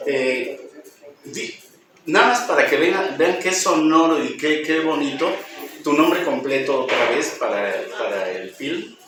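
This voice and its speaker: A man interviewing a guest in an unhurried way, often murmuring agreement. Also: a little high, animated, music in the background. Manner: unhurried, with frequent backchannel agreement. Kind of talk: casual interview. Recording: echoey, distant, with noticeable noise.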